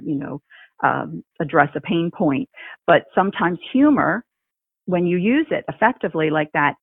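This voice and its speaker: A woman co-hosting a business podcast, explaining her ideas in a thoughtful, measured way with a husky voice. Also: sits low, no room sound. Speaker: podcast co-host. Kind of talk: casual conversational podcast. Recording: dry, tinny phone line.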